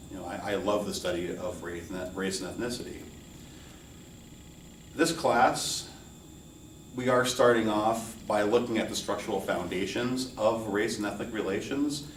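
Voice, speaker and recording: A middle-aged man with a low voice gives an informal class introduction in a measured, earnest way. He sounds some distance from the microphone in a roomy space with audible echo. He speaks as a college instructor addressing students. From United States, New York City and Hawaii. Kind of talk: informal course welcome lecture. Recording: roomy echo, distant mic, faint noise.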